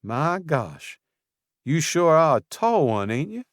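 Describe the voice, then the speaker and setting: A man's voice: a little high, very animated, slow pace, no room sound, clean studio sound, voice pushed up. A man voicing a cheerful young woman florist with a Southern US drawl, folksy and chatty. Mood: friendly.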